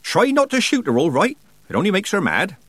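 Man voicing a brisk, cheeky old witch woman, pitched up. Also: huge pitch swings, a bit bright, no room sound, heavily acted. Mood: matter-of-fact. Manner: folksy, colloquial, teasing and scolding. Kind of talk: audiobook character dialogue.

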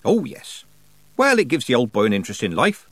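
A man voicing a brisk, bossy old village witch woman in an audiobook, folksy, teasing and scolding. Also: medium pitch, huge pitch swings, a bit bright, no echo, voice pushed up. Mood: matter-of-fact. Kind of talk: audiobook character dialogue.

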